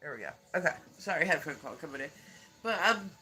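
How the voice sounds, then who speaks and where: Streamer, male, stuffy nose, high voice, rambling casual chat. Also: very animated, fast talker, pushed and bright, faint music behind. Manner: rambling, many filler words, frequent laughter. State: congested, nasal. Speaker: live streamer.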